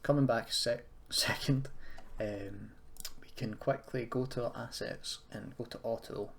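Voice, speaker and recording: A man giving a casual software tutorial, rambling and thinking aloud with self-deprecating asides. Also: medium pitch, fairly even intonation, unhurried. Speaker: tutorial host. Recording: clearly noisy, keyboard clicks and typing. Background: background noise, computer keyboard and mouse clicks.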